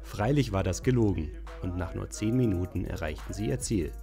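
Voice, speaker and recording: A man's voice: sits low, animated, brisk, vocal fry, over music. A man reading scripted narration for an audio drama.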